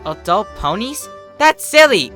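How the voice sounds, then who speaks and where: A man voicing an eager, innocent young filly, excitable and childishly indignant, in a storybook reading. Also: high voice, a little slow, over music, voice pushed up. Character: eager, innocent little filly, four and a half, cheeky. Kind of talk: fan fiction storybook reading.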